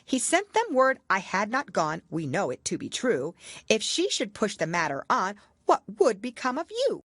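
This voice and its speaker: A woman's voice: mid-range voice, huge pitch swings, moderate pace, fairly bright tone, dry recording, voice pushed up. A woman voicing a nervous, deferential male rabbit herald in a storybook, with formal, careful delivery. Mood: reciting. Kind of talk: storybook character reading.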